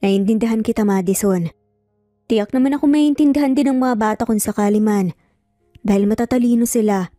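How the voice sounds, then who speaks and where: A woman storyteller acts out a caring older sister in an audio drama. She pleads gently and persuasively, with a mellow, higher voice, recorded close and dry over music. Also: fairly quick, no room sound, close to an everyday voice. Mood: concerned, pleading. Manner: earnest, persuasive.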